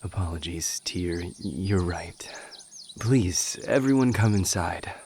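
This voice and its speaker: A man playing a gracious low-voiced warrior king. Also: slow pace, darkish tone, slight background noise. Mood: courteous. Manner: courteous, earnest. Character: Fenrir, gracious, mild-mannered exiled warrior king. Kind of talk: audio roleplay acting.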